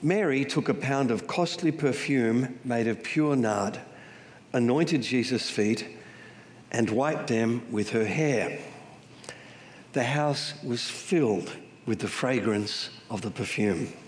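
A man's voice: medium pitch, slow. An Australian man preaching in a church, his voice thickened by a cold. He reads scripture and jokes at his own expense, and the sound carries a long hall reverb behind him. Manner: self-deprecating humor, emotional. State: has a cold. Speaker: guest preacher. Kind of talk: sermon with scripture reading. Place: church sanctuary.

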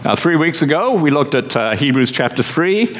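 Pastor guy giving a sermon, calm chatty delivery, dry jokes, big echoey church. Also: on the high side, a little hiss or hum. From Scotland. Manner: measured and conversational, with dry humor.

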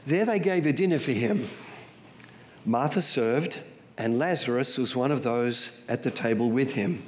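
A man's voice: medium pitch, a little slow. An Australian man preaching in a church, his voice thickened by a cold. He reads scripture and jokes at his own expense, and the sound carries a long hall reverb behind him. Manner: self-deprecating humor, emotional. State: has a cold. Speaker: guest preacher. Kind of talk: sermon with scripture reading. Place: church sanctuary.

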